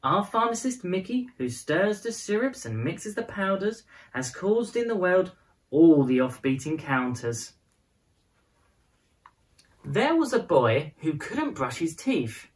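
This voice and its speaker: A woman reading a children's picture book aloud as a storyteller, with expressive, dramatized phrasing. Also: lowish voice, takes it slowly. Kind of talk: scripted storybook narration in prose and verse.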